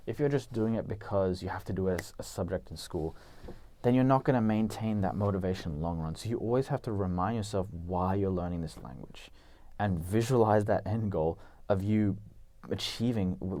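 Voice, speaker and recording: A man's voice: low-pitched, dark tone. A young man giving casual, earnest advice on learning languages, with lots of "like" and "you know" fillers.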